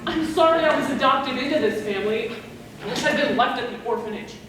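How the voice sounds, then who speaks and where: A woman's voice: high-pitched, fairly quick, a crowd in the background, lightly acted. A woman acting in a stage play as a bitter, lonely middle-aged sister, plaintive, aggrieved and sardonic. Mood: hurt, aggrieved. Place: stage in a large hall, far from microphone. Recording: distant, echoey, noisy stage recording.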